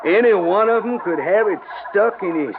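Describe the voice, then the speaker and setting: An actor playing a blustering old landowner with a Southern US accent in a vintage radio drama, halting and repeating himself. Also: male voice, sits high, expressive, gravelly, phone-quality sound. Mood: incredulous, indignant. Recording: band-limited old radio, farm noise behind.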